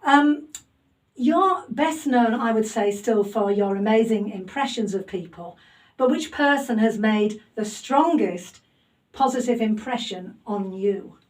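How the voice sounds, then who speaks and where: A woman's voice: a little high, expressive, measured pace. Chatty woman host, distant mic. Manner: chatty, self-deprecating, many fillers. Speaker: podcast host. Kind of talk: casual interview chat. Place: home loft.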